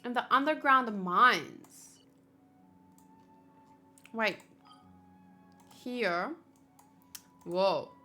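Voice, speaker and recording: A woman's voice: on the high side, expressive, very slow, long pauses, bright, forceful tone, soft background music. A woman chatting casually on a game livestream, thinking aloud as she plays. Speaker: game streamer. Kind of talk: casual livestream chat while playing a video game.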